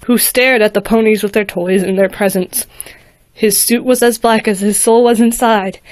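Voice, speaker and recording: A woman's voice: a little high, dry recording. A woman casually reading a rhyming fan fiction story aloud, now and then stumbling and restarting a word. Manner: casual, slightly halting with restarts. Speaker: fanfiction reader.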